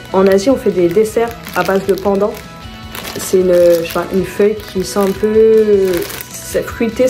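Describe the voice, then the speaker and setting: A chatty woman talks through her shopping haul at home in a relaxed, mellow voice. She rambles and corrects herself as she goes. Soft music plays quietly behind her. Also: mid-range voice. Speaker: vlogger. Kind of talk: casual vlog chat, showing her shopping haul.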